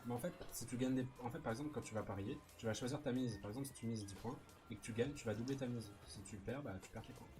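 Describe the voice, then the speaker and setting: A man's voice: mid-range voice, fast talker, hardly any pauses. A man streaming a game, chatting and joking as he explains things to his viewers. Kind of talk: casual gaming stream chat. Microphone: studio microphone. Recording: music behind voice.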